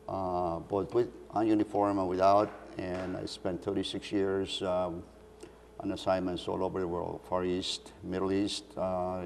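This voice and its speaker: An older man from Colombia, answering interview questions in a halting way with many pauses. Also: sits low, a little mellow. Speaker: guest, council chair. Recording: echoey room with faint hiss.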